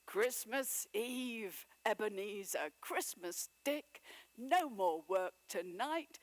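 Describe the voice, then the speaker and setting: A woman voicing a jolly stout old merchant man with a rich, booming, jovial voice. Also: expressive, slow, no echo.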